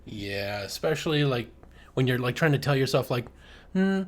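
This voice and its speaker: A man co-hosting a casual podcast, joking around and swearing casually in quick bursts. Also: mid-range voice, big pitch swings. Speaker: podcast co-host.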